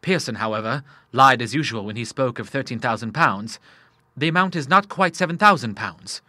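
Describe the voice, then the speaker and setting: A man's voice: medium pitch, expressive, moderate pace, sharp tone, no room sound. A man playing an earnest, troubled young son. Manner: earnest, emotional, formal.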